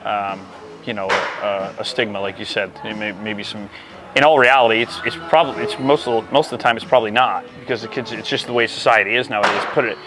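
A middle-aged man co-hosting a casual baseball talk, conversational and often trailing off softly, on a lapel mic in a cluttered equipment room. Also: on the low side, steady tone, fast, over music. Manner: conversational, trailing off into softer asides. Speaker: baseball program coach and co-host. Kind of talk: casual podcast chat.

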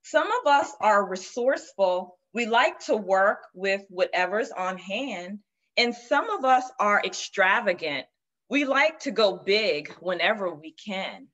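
A woman's voice: medium pitch, animated. A woman in her forties reading a picture book aloud to children as a guest storyteller on a video call. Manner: slow, gentle read-aloud. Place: room with shelves of children's books. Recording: dull lo-fi video call audio.

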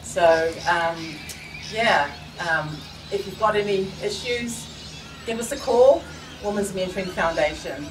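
A woman's voice: mid-range voice, measured pace. Cheerful woman, distant outdoor voice. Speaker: staff member. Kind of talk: short speech to a gathering. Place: open-sided park pavilion outdoors. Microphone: far camera, no mic. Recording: distant outdoor sound with background noise.

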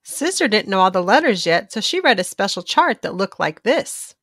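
A woman reading a children's storybook aloud in an expressive, animated narrating style for young listeners. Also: mid-range voice, moderate pace, no echo, clean studio sound.

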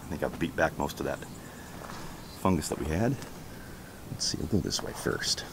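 A man giving a casual walking tour of his backyard garden outdoors, chatty and relaxed as he points things out on a handheld camera. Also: a bass voice, moderate pace, creaky, noisy background. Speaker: homestead vlogger. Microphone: handheld camera held while walking.

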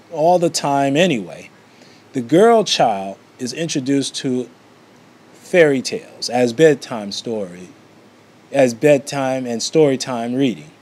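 A man's voice: medium pitch, a little mellow. A man reading a book aloud in an amateur way, often stumbling and going back over phrases.

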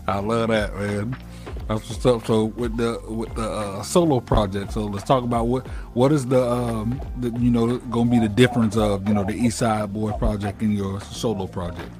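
A man's voice: lowish voice, fairly even intonation, moderate pace. A man, hosting a casual interview in an informal, slangy way. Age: late 30s. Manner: informal, slangy, repeats phrases. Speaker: interview host. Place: indoor room at home, on a video call. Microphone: wired earphones on a video call. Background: music.

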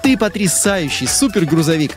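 An actor voicing an excitable, friendly narrator of a children's truck cartoon, exclaiming and talking straight to the characters and little kids. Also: medium pitch, normal speed, natural voice. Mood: cheerful, encouraging. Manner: exclamatory, addresses characters and small children directly. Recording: music behind the voice.

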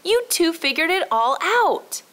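A woman's voice: very high-pitched, big pitch swings, unhurried, fairly bright tone, voice pushed up, heavily acted. A woman voicing a friendly, encouraging female shopkeeper in a children's storybook. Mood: delighted. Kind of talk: children's storybook read-aloud.

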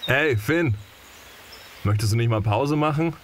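A man playing a guarded, gruff guide in an audio drama, with a casual, brusque manner. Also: medium pitch, measured pace, noisy background, close to an everyday voice. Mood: mildly exasperated.

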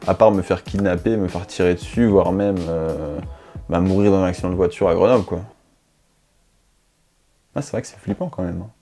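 A man's voice: sits low, a little fast, long pauses, dark tone, soft background music. A young man, talking slangy and rambling to camera about his year, recorded on a lapel mic. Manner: slangy, rambling, self-mocking. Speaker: YouTuber. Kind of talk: improvised casual monologue to camera. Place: dim bedroom studio, indoors.

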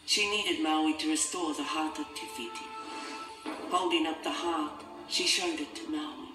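A man's voice: on the high side, steady tone, measured pace. A man reading a scripted children's storybook as its narrator. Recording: echoey, distant, music behind.

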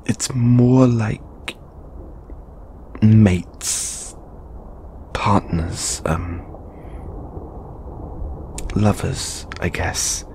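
A man playing a shy, anxious, lovelorn young lamia flatmate in an intimate audio roleplay, speaking hesitantly with stammers and sighs. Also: on the low side, drawn-out words, gravelly, pauses often, slight background noise, heavily acted. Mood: wistful, embarrassed.

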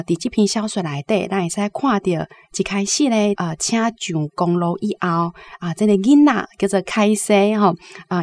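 A woman's voice: medium pitch, a little mellow. A woman with a Taiwanese accent giving conversational literary commentary as a guest professor.

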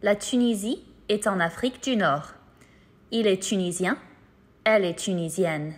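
A woman's voice: medium pitch, a little slow, husky. Woman, lesson narrator, mid-range voice, scripted instructional delivery, careful enunciation.

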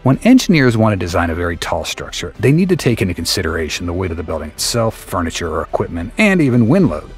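A man reading a scripted documentary narration in a steady, explanatory way over a close voice-over mic. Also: deep voice, quick pace, few pauses. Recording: faint music bed behind the voice.

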